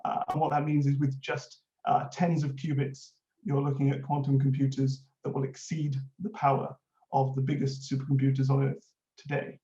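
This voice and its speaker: A man's voice: mid-range voice, completely flat delivery, normal speed, dark tone. A man with a Welsh accent giving a conference talk, explaining a technical subject with frequent filler sounds. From Wales. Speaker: conference speaker, innovation lead. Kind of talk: presentation talk with slides. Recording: roomy, dull lo-fi audio.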